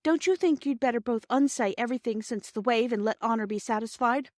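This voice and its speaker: Woman playing a gentle, earnest older sister. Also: fairly high pitch, fairly quick, dry recording, studio quality, voice pushed up, close to an everyday voice. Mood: reasoning. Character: honest, caring older sister, schoolgirl peacemaker.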